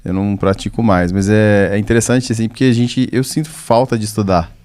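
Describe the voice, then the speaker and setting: A man hosting a podcast, talking in a relaxed, reflective way. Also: fairly low pitch, brisk. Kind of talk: casual podcast conversation.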